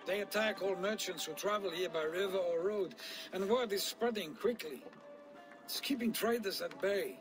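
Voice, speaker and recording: Casting: actor as loyal Viking deputy, male, notably high voice, polite, earnest, a bit put-upon, game drama with music behind. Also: normal speed, lightly acted. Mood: troubled, rueful. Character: Magni, courteous, troubled Viking town deputy, loyal man. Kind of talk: dramatic video game acting.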